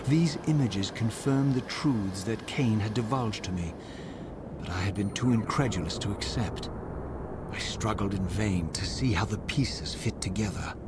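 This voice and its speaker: An actor playing a proud, bitter undead vampire wraith in a video game, speaking with formal, deliberate and sardonic theatrical diction. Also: male voice, on the low side, noisy background, close to an everyday voice. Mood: brooding narration. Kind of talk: dramatic video game voice acting.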